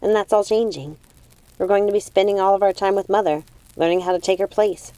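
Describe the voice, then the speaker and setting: A woman voicing a calm young witch sister in a storybook, a gentle peacemaker who reasons and reassures. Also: mid-range voice, brisk, no room sound, natural voice, low-quality recording. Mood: reassuring.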